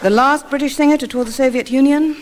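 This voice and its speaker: Breezy high-voiced woman quiz host. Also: fairly even intonation, over a noisy crowd, heavy background noise. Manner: brisk, breezy presenter patter. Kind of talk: spoof radio quiz hosting.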